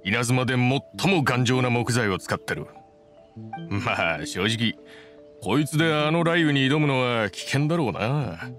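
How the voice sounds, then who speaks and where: A man's voice: mid-range voice, lively intonation, measured pace, fairly bright tone, faint music behind. An actor playing a modest, dependable craftsman in an anime game, speaking in a casual, sincere and plain-spoken way. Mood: frank. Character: modest, dependable adult male boat builder in anime game.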